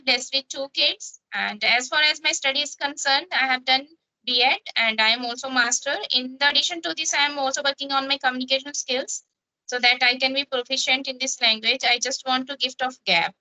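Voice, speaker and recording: A woman with an Indian accent chats over an app call. Her voice sits high, and her tone is bright. She hesitates often and fills gaps with "uh" and "okay" while she asks her questions. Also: steady tone, a little fast, low-quality recording. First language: Hindi. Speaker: language practice partner. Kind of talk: casual practice conversation.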